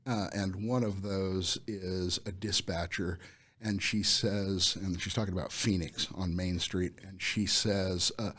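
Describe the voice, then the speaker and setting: A man's voice: low voice, steady tone, unhurried, a bit bright. An American man chatting casually as a podcast host.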